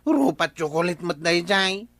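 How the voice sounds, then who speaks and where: A man's voice: high-pitched, expressive, a little slow, fairly bright tone. A man with an Ilocano accent playing a joking, grumbling village man in a radio comedy drama.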